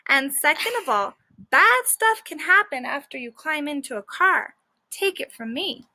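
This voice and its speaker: Woman reading as a bright, sassy dog. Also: high-pitched, sing-song, measured pace, heavily acted. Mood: stubborn. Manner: sassy, colloquial storytelling. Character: cocky stubborn male stray dog narrating his story. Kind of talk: children's book read-aloud.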